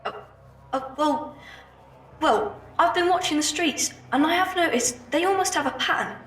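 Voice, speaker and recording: A woman playing a very high-voiced plucky street girl. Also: normal speed, a bit bright, recorded from a distance. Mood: eager, explaining. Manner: cheeky, colloquial, keen. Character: plucky, sharp-witted young street girl, eager to prove herself. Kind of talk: audio drama acting. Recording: echoey room, off mic, faint noise.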